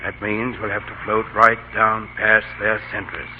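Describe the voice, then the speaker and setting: A man's voice: fairly low pitch, flat delivery, moderate pace, noisy background, natural voice. An actor playing a resolute, earnest army officer and devoted father in an old-time radio drama. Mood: tense, resolute. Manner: commanding, earnest. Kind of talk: 1930s radio drama acting. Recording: tinny old radio broadcast with music.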